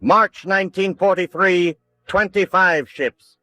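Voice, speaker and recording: An actor playing a terse, declamatory announcer reading out wartime bulletins for radio. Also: male voice, high voice, takes it slowly, sharp tone, exaggerated voice. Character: clipped male announcer calling out war statistics. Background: music.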